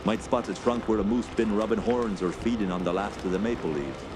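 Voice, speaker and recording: Male actor as proud French-Canadian wilderness guide, lowish voice, colloquial, teasing, radio drama, noticeable ambient noise. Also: a little fast, lightly acted. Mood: musing. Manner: colloquial, folksy, ends remarks with "eh". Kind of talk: radio play acting. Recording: clear background noise under voice.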